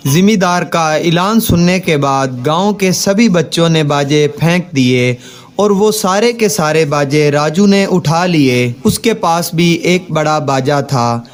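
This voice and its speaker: A man's voice: on the high side, slow pace, soft background music. A man narrating a scripted children's moral story.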